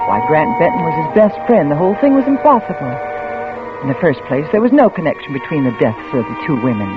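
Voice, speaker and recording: A woman's voice: deep voice, sing-song, fast. An actress playing an anxious, stubborn young woman investigator in a 1940s radio thriller, with a dramatic delivery that stammers when rattled. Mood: tense narrating. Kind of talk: 1940s radio thriller acting with first-person narration. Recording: tinny old radio recording with music.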